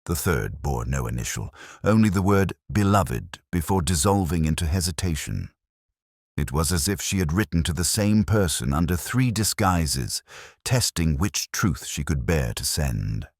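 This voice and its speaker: A man playing a melancholy, reflective police inspector, narrating his case in a measured, literary way like an audio drama. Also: a bass voice, animated, no echo, clean studio sound, full character voice. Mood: brooding, reflective. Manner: measured, literary, brooding delivery. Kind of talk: scripted first-person detective audio drama narration.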